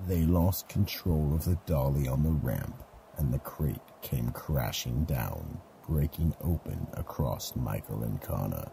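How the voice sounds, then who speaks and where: Male mystery-theatre narrator: very low, husky and creaky, slow, ominous scripted storytelling. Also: lively intonation, warm tone, slight background noise.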